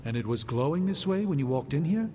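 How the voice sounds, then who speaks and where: An actor playing a formal, learned male sorcerer who speaks in a measured, polite way. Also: a little high, animated, warm tone, in a large hall, a little hiss or hum, natural voice. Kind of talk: video game cutscene acting.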